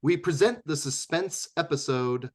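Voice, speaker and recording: A man reading scripted host announcements for a radio theatre show in a measured, wryly formal way. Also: fairly high pitch.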